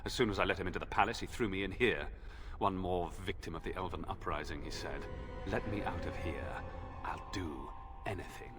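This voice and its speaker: A man's voice: sits low, fairly quick, lightly acted. An actor playing an arrogant, desperate imprisoned young nobleman in a video game, haughty and pleading, with music behind him. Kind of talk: dramatic video game acting.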